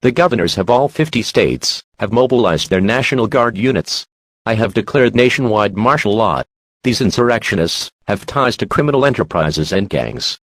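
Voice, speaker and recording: A man voicing a grave automated emergency alert announcer in a formal, measured, deliberate manner for a scripted drama. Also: lowish voice, expressive, dry recording.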